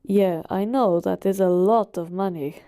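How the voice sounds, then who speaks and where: A woman's voice: mid-range voice, measured pace, warm tone, clear, resonant tone, no room sound, clean studio sound, natural voice. A woman voicing an ancient, all-powerful genie who talks casually and teasingly to a master in a roleplay monologue. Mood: casual, wry. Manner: casual, teasing, conversational asides. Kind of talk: in-character roleplay monologue to the listener.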